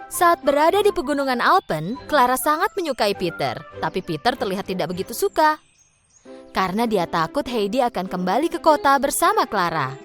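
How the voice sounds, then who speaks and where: A woman's voice: high voice, fairly bright tone. A woman narrating a scripted children's bedtime story.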